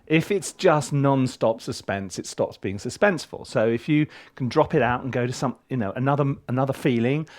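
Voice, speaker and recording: A man giving a casual video tutorial as a composer and tutor, with a playful, rambling and animated way of talking. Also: mid-range voice. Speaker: film composer and music tutor.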